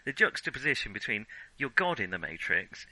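A man co-hosting a casual film discussion, talking in a halting, animated way and often starting sentences over. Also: fairly low pitch, dry recording, poor audio. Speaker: podcast co-host.

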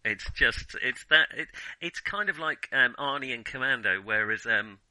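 A middle-aged man in a casual unscripted film discussion as a podcast co-host, often halting and correcting himself mid-thought. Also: medium pitch, pushed and bright, no room sound, rough recording. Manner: halting, self-correcting, trailing restarts.

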